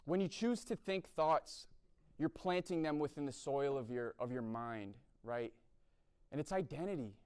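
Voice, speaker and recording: A man's voice: on the high side, animated, normal speed, darkish tone, clear, resonant tone. A young man from the East Coast of the US giving a blunt, sermon-style talk and often checking in with "right?". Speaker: speaker at a university chapel event.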